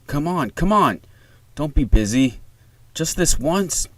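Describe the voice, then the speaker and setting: A man's voice: a little high, expressive, slow pace, fairly bright tone, dry recording, voice pushed up, exaggerated voice. A man reading the part of an anxious, urgent wife and mother on the phone in a screenplay. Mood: frantic, snappish. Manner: urgent, insistent.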